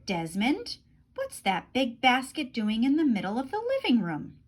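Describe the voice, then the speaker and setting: A kindly, curious father mouse from a children's book, voiced by a woman. The voice sits fairly high, questioning and giving advice. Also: huge pitch swings, moderate pace. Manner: questioning, advising.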